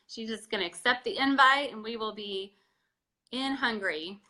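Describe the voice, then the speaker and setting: Bright, high-voiced woman host. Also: moderate pace. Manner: chatty, enthusiastic, with fillers. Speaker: interviewer and author. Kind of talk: casual video call interview. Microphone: video call.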